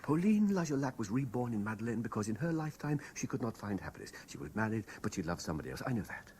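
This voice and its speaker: A man's voice: fairly low pitch, big pitch swings, quick pace, darkish tone. An actor playing an obsessed, domineering man in a radio drama, insistent and intense in his delivery. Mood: urgent, obsessive. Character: obsessed, domineering man haunted by a dead lover. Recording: noisy 1970s radio broadcast.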